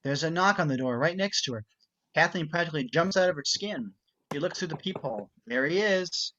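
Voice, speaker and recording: Casting: male narrator for a screenplay table read, slightly high pitch, reads scene directions, lo-fi sound. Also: animated, a little fast, pushed and bright. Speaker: narrator reading stage directions. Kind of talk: scripted narration at an amateur script reading. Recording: dull, muffled home recording.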